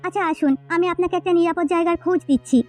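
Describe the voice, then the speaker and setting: A woman voicing a kindly, concerned village housewife in a cartoon folktale, earnest and expressive. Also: very high voice, rapid-fire, faint music behind. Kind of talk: Bengali cartoon folktale voice acting.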